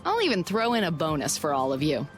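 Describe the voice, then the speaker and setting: A woman playing a shrewd, confident merchant guild president in a video game, brisk and polished in delivery. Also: low voice, wildly swooping pitch, soft background music. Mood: persuasive, confident. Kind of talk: video game dub acting.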